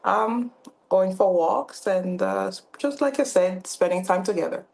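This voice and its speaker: A woman's voice: mid-range voice, measured pace, gravelly, a little mellow. A woman from Cameroon in her thirties, a podcast guest talking casually with many fillers and restarts. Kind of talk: casual interview talk.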